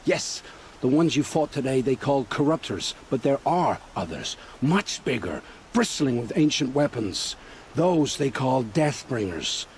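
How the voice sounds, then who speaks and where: A fearful informant, noise behind him. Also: male voice, medium pitch, sing-song, measured pace. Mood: grim, fearful. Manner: dramatic, fearful, vivid storyteller. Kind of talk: video game cutscene acting.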